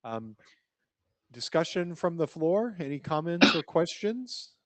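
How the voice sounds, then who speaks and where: A man chairing a meeting, thanking the speaker and asking questions, often restarting and trailing off quietly. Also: fairly high pitch, very animated, a little slow, no room sound. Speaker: session chair.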